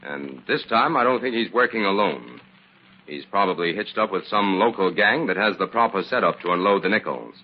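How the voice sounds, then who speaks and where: An actor playing a steady, sharp-minded crime investigator in an old-time radio drama, with a husky voice. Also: male voice, low voice, moderate pace, muffled recording. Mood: businesslike.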